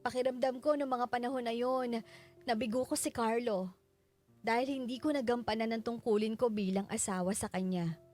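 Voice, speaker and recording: An actress voices a bereaved young Filipino wife narrating her loss in a radio drama. Her voice sits a little high and moves in measured, sorrowful phrases, with music playing underneath. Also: darkish tone, natural voice. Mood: mournful, remorseful. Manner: measured, mournful. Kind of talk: scripted dramatic first-person narration.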